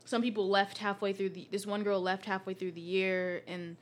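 A woman's voice: mid-range voice, flat delivery, normal speed. A teenage girl in casual conversation, chatty and laughing often, with many "like" fillers. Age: teens. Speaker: guest. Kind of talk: casual chat.

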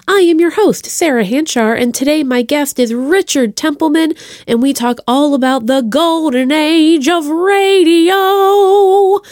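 A woman's voice: high voice, unhurried, darkish tone, no echo, studio quality. A woman hosting a podcast in a chatty, effusive and playful way, addressing listeners directly. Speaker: podcast host. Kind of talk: chatty podcast introduction and plugs.

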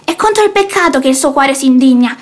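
A woman's voice: high voice, lively intonation, fast. An actress playing an imperious, sanctimonious old mother-in-law in a theatrical radio play, haughty and preachy. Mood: indignant.

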